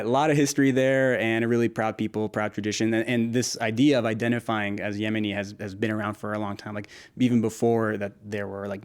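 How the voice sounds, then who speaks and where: Laughing young man in a relaxed chat. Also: lowish voice. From Nashville, United States. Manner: laughs often, restarts sentences, relaxed and friendly. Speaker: interview guest, food creator. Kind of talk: casual conversational interview.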